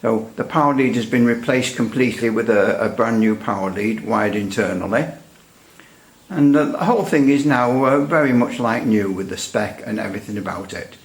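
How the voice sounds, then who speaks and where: A man giving a casual hands-on equipment demonstration as a hobbyist, thinking aloud in a halting way. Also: on the low side. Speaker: radio repair hobbyist presenter. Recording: echoey room.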